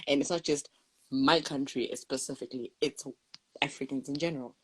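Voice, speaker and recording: A young woman from Zimbabwe in casual conversation, telling her own experiences with lively storytelling and quoted voices. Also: a bass voice, moderate pace, slightly rough. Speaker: guest sharing experiences.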